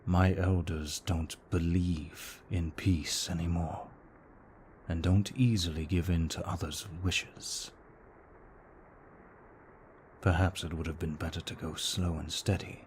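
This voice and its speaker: A man as a very deep, slow-spoken courteous vampire. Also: little pitch movement, a little hiss or hum. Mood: regretful, earnest. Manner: formal, polite, hushed and measured. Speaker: voice actor in an audio roleplay. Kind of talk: intimate ASMR roleplay acting.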